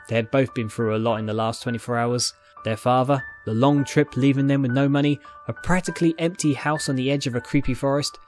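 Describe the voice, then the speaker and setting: A man reading fiction aloud as narrator, in scripted dramatic storytelling style. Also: medium pitch. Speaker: narrator, fan fiction reader.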